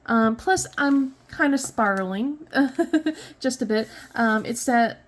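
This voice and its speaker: A woman in her thirties talks casually at home, a touch sniffly. Her voice sits fairly high, and she wanders through her thoughts with fillers, restarts and small laughs. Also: animated, takes it slowly. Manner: rambling, many fillers and restarts, light laughs. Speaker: crafting vlogger. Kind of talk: casual chat while diamond painting.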